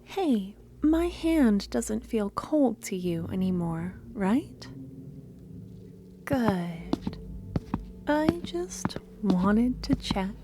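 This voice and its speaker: A woman playing a loving, possessive female cultist kidnapper in a soft-spoken ASMR roleplay, breathy and coaxing, drifting into whispers. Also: medium pitch, sing-song, extremely slow, a little hiss or hum, exaggerated voice. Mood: eager, coaxing.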